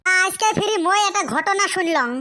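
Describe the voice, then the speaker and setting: A man's voice: very high voice, fairly quick, bright, forceful tone. A man voicing a cheeky, scheming village son in a comic cartoon. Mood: sly. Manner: cheeky, argumentative.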